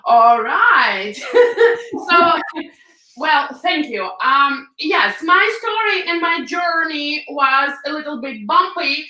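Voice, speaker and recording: Ukrainian-accented woman guest, high bright voice, echoey, a bit off-mic on a call. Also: big pitch swings, slow pace, recorded from a distance, a little hiss or hum. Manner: fillers and non-native grammar, laughs often. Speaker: guest, hairstylist. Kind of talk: interview answers, telling her story.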